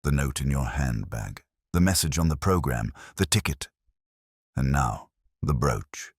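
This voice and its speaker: A man's voice: rumbling low, expressive, a little slow, husky, dry recording, clean studio sound, full character voice. A man voicing a polite, shrewd police inspector in a mystery story, questioning in an understated, courteous way. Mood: gently insistent.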